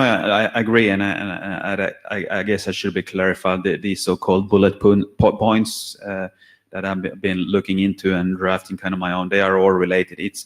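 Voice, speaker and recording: Halting man, low monotone. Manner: halting, repeats words. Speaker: draft author presenting. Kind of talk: technical meeting discussion. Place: standards meeting room.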